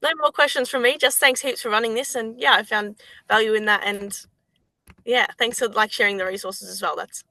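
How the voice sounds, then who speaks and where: A woman taking part in an online workshop over a video call, rambling and halting with frequent fillers. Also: a little high, steady tone, pushed and bright, dry recording. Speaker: workshop participant.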